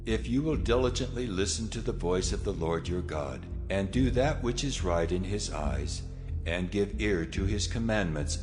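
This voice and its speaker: God, deep and solemn, over music. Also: male voice. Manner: solemn, measured declarations. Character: God of Israel, commanding, solemn, authoritative male deity. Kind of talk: dramatized scripture audio.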